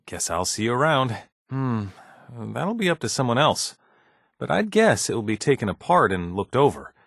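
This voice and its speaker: An actor playing a mild, bureaucratic scientist in a video game, polite, measured and slightly uneasy, with a hesitant, deferential manner. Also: male voice, mid-range voice, sing-song, vocal fry, fairly bright tone, no room sound, studio quality, heavily acted. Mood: relieved, courteous, then awkward, matter-of-fact. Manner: hesitant, deferential, measured office-professional speech. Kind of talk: video game dialogue acting.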